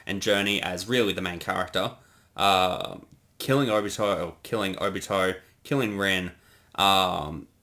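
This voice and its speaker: Man, casual commentary, lowish voice, explanatory, thinking aloud. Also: bright, forceful tone. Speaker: co-creator giving commentary.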